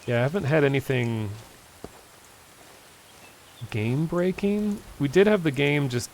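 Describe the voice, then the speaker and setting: A man streaming a game, casually answering chat and talking through play, hoarse from losing his voice after hours of talking. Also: a little high, a little slow, slight background noise. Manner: relaxed, easygoing, answers chat questions. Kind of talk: casual gameplay commentary, reading chat.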